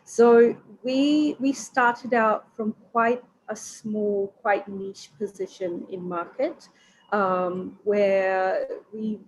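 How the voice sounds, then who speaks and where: A woman, a university regional recruitment manager, speaking in a measured, professional way on a video call webinar panel. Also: medium pitch, steady tone, a little hiss or hum, rough recording.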